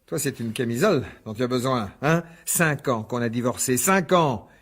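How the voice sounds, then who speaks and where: A man's voice: medium pitch, moderate pace, a bit bright, close to an everyday voice. An actor playing an agitated, sarcastic middle-aged divorced father in a French radio drama, biting and insistent. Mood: scornful. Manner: biting, insistent, repeats himself.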